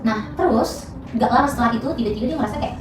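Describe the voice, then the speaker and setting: A woman's voice: fairly high pitch, very fast, echoey room, far from the mic, noisy background. A young woman, a student casually telling a spooky story on a podcast, with lots of fillers and restarts. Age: young adult. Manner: chatty, many fillers and restarts.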